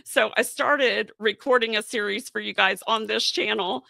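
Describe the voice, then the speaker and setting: A woman's voice: a little high, moderate pace, vocal fry, pushed and bright, no echo. A woman hosting a casual live-stream chat on her channel, losing her voice and sounding scratchy, rambling along with plenty of fillers. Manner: chatty, rambling with many fillers. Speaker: channel host. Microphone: podcast microphone.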